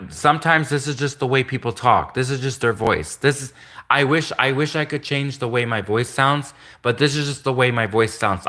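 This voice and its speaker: A man hosts a solo live stream, chatting to viewers in loose, rambling sentences and repeating his points. His voice is soft, with a bright edge, and some listeners hear it as gender-ambiguous. He sits at a middle pitch and sounds earnest. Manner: repetitive, earnest, restates points often; greets viewers and reads comments aloud.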